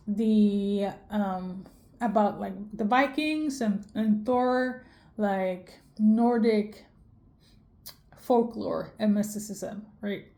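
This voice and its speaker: A young woman from Sweden delivers a rambling spiritual reading, full of fillers, restarts and self-corrections. Her voice sits a little high, with a soft, mellow tone. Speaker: tarot reader.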